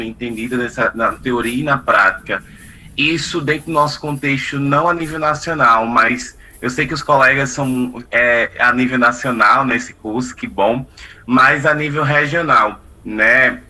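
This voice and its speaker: Halting man asking a long question. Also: medium pitch, sharp tone, poor audio. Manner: halting, searching for words with many fillers. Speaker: course participant asking a question.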